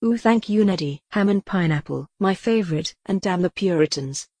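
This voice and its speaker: A synthetic female text-to-speech voice playing a calm, wry retro computer who thinks she's a cat, deadpan in an audio comedy drama. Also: lowish voice, normal speed, dry recording. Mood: dry, whimsical.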